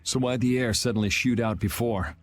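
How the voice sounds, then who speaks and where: An actor playing a dry, impatient young adventurer in a video game. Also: male voice, medium pitch, fairly even intonation, a little fast, close to an everyday voice.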